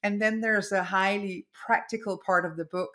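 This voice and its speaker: A woman's voice: medium pitch, fairly even intonation, moderate pace, pushed and bright. A woman whose first language is Danish, a therapist and author talking casually as an interview guest, often laughing and repeating words.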